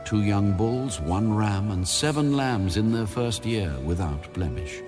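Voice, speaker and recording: A man with a lowish voice reads scripture formally, in a measured and solemn audiobook style. Music plays clearly behind his narration. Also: lively intonation. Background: background music.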